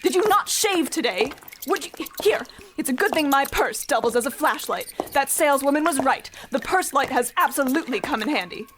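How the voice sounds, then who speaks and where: High, lively melodramatic wife, acted by a woman. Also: a little fast, few pauses, noisy background. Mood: playful. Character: melodramatic married woman on a trip, prim wife. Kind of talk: comedic horror radio play acting.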